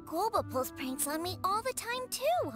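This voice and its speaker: Cute, mischievous young girl for a game: very high, bouncy melody, over music. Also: big pitch swings, measured pace, full character voice. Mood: playful, gleeful. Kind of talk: video game voice acting.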